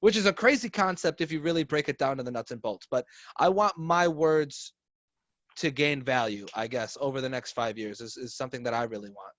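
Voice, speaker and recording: A man's voice: mid-range voice, a little fast, fairly bright tone, no room sound. Earnest young man rambling, muffled audio. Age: 20s. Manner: rambling, earnest, filler-heavy. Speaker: podcast guest. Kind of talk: casual chat.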